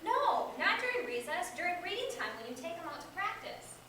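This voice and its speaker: A woman's voice: extremely high pitch, big pitch swings, a little fast, pushed and bright, exaggerated voice. A young American woman playing an eager, nosy school-age classmate in amateur reader's theater. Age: young adult. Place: echoey indoor room. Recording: echoey room, distant microphone, background hiss.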